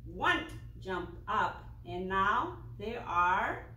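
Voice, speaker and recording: Preschool teacher woman, very slow and drawn out, recorded from across an echoing classroom. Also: medium pitch, very animated, sharp tone, dull audio. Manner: slow, deliberate, drawn-out words for small children. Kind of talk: slow circle-time teaching and read-aloud. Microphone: far camera. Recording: echoey classroom from a distant camera.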